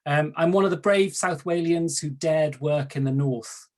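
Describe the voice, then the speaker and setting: A Welsh-accented man, a native Welsh speaker serving as an archdeacon, gives a measured, formal talk over a video call, setting out his points in order. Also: fairly high pitch, darkish tone. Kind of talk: prepared talk at an online webinar.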